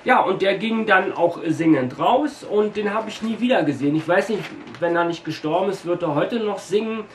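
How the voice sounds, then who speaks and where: A middle-aged man with a light Berlin accent chats through shop anecdotes, stopping to check his notes and filling the gaps with ums. His voice sits a little high for a man. It is picked up by a camera a few metres off in a small echoey room, with a faint hiss underneath. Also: expressive. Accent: light Berlin German. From Berlin, Germany. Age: 40s. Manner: chatty, reads from notes, frequent pauses and fillers. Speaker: video host telling shop stories. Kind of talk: casual storytelling to camera. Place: small room packed with shelves, indoors.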